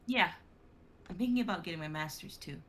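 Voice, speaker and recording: A woman's voice: fairly low pitch, lively intonation, brisk, fairly bright tone. A woman playing a friendly, tentative student in her early twenties in a dramatic script reading. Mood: shy, pleased. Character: friendly twenty-two-year-old psychology student, tentative and grateful. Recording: noisy amateur livestream audio.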